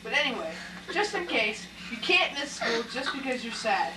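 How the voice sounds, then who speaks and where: A woman's voice: on the high side, sing-song, moderate pace. A woman playing a strict, matter-of-fact father in an amateur puppet show. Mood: firm. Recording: echoey, distant, noisy live recording.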